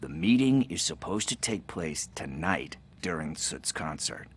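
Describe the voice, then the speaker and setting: A wry, streetwise informant for a video game. His voice sits very low. He talks casually and glibly, hesitating as he goes, slippery yet likeable. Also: big pitch swings, husky, full character voice. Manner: glib, hesitant, casual drawn-out phrasing. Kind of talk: video game cutscene acting.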